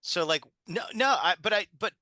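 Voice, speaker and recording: A man speaking in a casual panel discussion, emphatic and halting, often checking in with a questioning right. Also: high voice, expressive, sharp tone, no room sound, clean studio sound. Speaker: theatre panelist.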